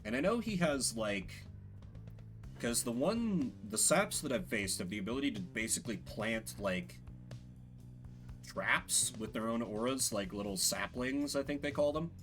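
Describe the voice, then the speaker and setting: A man's voice: mid-range voice, expressive, unhurried, fairly bright tone. A man streaming a video game, giving casual commentary as he thinks aloud. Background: game music.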